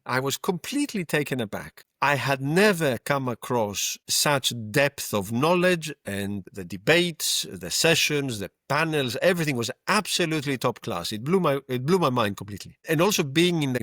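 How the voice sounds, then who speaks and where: A man in his fifties from Greece with a Greek accent, a lawyer telling his story in a measured, reflective way as a podcast guest. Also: medium pitch, a bit bright, no room sound, clean studio sound. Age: 50s. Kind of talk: conversational podcast interview.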